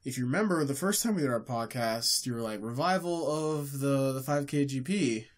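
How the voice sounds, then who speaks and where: A man's voice: medium pitch, animated, a little fast. A man with a stuffed-up nose, co-hosting a casual gaming podcast and rambling with many fillers and restarts. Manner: rambling, filler-heavy, self-correcting. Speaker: podcast co-host. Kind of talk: casual chat.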